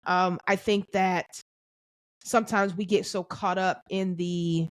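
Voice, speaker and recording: A woman's voice: on the low side, fairly even intonation, slow, dry recording. Brief: woman podcast host, reflective and affirming, dry close-mic sound. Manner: thinks aloud with restarts, affirming "that's right", "amen". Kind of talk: reflective faith-centered casual chat.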